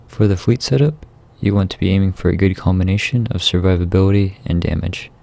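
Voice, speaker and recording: A man reading a scripted video game guide in a measured, instructional way. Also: deep voice, gravelly, warm tone. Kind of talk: scripted guide narration over gameplay.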